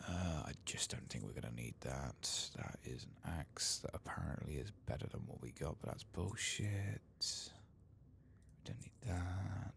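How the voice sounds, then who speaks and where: A man softly speaking and whispering ASMR game commentary, muttering to himself as he goes. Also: rumbling low, animated, unhurried, gravelly, a little hiss or hum. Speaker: host of an ASMR game playthrough.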